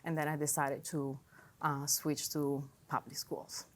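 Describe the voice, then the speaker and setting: A woman with a heavy Italian accent, a native Italian speaker, answering interview questions as a teacher, hesitant with many fillers and quick to laugh. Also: deep voice, flat delivery. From Italy. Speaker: high school teacher.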